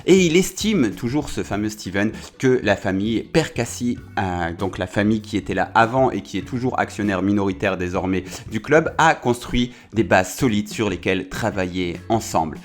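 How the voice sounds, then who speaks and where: A man's voice: lowish voice, lively intonation, moderate pace, hardly any pauses. A man hosting a casual football video, talking in a chatty way with many fillers and self-corrections.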